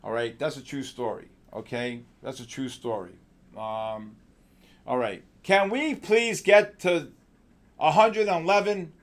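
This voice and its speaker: Gravelly-voiced New York trading host. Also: male voice, medium pitch. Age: middle-aged. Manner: rambling, jokey, shouts out viewers by name; drawn-out announcer-style calls. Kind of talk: casual live market chat.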